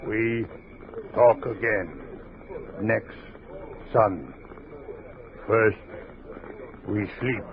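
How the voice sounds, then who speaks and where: Very slow, proud, guarded war chief. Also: male voice, lowish voice, narrow, tinny audio. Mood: guarded, terse. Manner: terse, halting, broken English. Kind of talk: 1960s western radio drama acting. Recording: noisy, band-limited 1960s radio recording.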